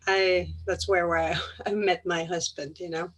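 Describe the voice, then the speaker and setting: A middle-aged woman with a Danish accent, giving a personal talk in a halting, reflective way with pauses mid-phrase. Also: fairly low pitch, level tone, fairly bright tone. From Denmark. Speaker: occupational therapist and craniosacral therapist.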